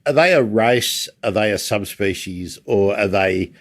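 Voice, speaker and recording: A man's voice: on the low side, expressive, measured pace. A man with an Australian accent hosting a casual interview, inquisitive and a little rambling, with a self-deprecating way of talking. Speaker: livestream host and interviewer.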